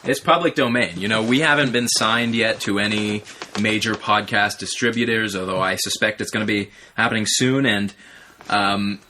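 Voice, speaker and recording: A man's voice: on the low side, fairly even intonation, normal speed, noisy background. A man co-hosting a podcast in casual banter, joking in a mock-serious, hesitant way. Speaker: podcast co-host.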